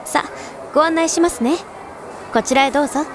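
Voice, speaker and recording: A woman acting an overly polite, persuasive female shop clerk in a voice drama, speaking in smooth honorific sales talk. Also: high voice, brisk, background noise, close to an everyday voice. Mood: pleased, obliging.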